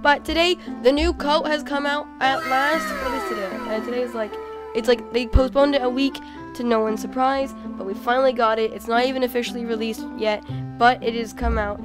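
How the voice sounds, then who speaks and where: A young woman with a cold talks over her gameplay, her voice hoarse and worn. She gets excitable, with lots of "oh my god" and "like" in casual commentary. Soft game music plays faintly underneath. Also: fairly high pitch, a little fast. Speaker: gaming channel host.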